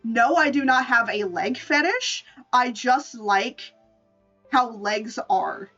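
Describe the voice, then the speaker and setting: Female VTuber artist, high voice, playful informal livestream chat, slightly dull recording over music. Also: slow pace, sharp tone, distant mic. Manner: playful, informal, swears freely. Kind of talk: casual livestream chat showing drawings.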